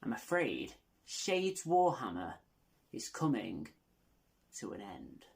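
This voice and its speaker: Slow, low-voiced woman hosting a channel. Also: sing-song, vocal fry. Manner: tongue-in-cheek, self-deprecating humour. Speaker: video channel host. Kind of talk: casual announcement to viewers.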